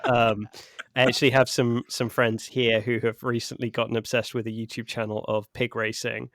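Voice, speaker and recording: A man's voice: medium pitch, little pitch movement, normal speed, fairly bright tone. Thoughtful man discussing a film. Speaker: podcast co-host.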